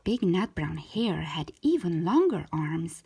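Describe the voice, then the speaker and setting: A woman narrating a children's storybook as host, with gentle, deliberate, expressive phrasing. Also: lowish voice, slightly rough.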